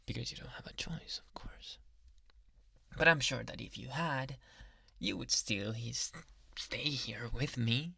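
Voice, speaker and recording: A man acts out a young yandere doctor in an intimate ASMR roleplay, doting and sweetly menacing. His delivery is slow and creaky, drifting between breathy murmurs and whispers. It is recorded close and very dry, like a captor speaking right into the ear. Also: medium pitch, bright, forceful tone, no echo, heavily acted. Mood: adoring, obsessive. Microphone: close microphone.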